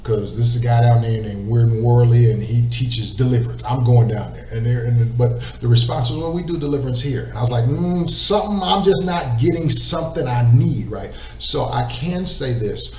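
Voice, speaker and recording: A man's voice: medium pitch, moderate pace, few pauses, darkish tone. Earnest man in a roomy, distant recording. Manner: emphatic, repeats words, asks "right?". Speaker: interview guest. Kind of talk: earnest faith testimony. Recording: roomy echo, distant mic, faint noise.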